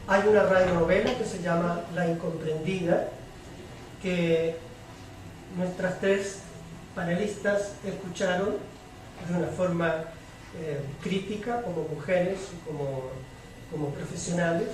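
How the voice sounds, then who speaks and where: Echoey, high-pitched man moderating a panel. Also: a little slow, a little mellow. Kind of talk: moderating a public panel debate. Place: large echoey room at a public event. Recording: echoey hall, distant mic, faint noise.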